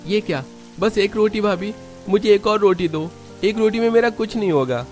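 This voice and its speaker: A man's voice: high-pitched, normal speed, a little mellow, faint music behind, voice pushed up, lightly acted. A man voicing a petulant, greedy son-in-law in a cartoon, whiny and demanding.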